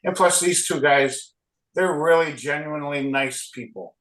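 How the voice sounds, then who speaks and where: A man co-hosting a community interview, speaking hesitantly with many pauses and restarts. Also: on the high side, fairly bright tone. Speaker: co-host.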